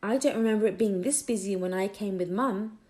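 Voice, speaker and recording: A woman's voice: mid-range voice, brisk, warm tone, lightly acted. A woman voicing a bold, earnest young child in a children's audiobook, explaining things and urging a friend on. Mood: excited. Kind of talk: children's audiobook character dialogue.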